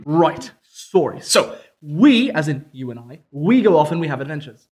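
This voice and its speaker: A man's voice: on the high side, very animated, normal speed, darkish tone. A man playing a boastful, quibbling time-travelling professor in a live old-time radio comedy sketch. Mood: explaining. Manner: wry, quibbling.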